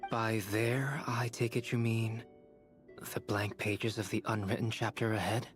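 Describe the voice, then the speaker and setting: Man playing a calm, analytical young scholar. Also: lowish voice, faint music behind, lightly acted. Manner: measured, formal, explanatory. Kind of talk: video game voice acting.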